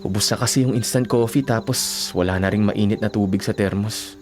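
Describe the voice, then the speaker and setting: A man acting in a radio drama as a devoted, self-sacrificing young boyfriend and son, gentle and reassuring, with a Filipino accent. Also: lowish voice, fairly quick, music in the background, lightly acted. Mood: tender, reassuring. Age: young adult.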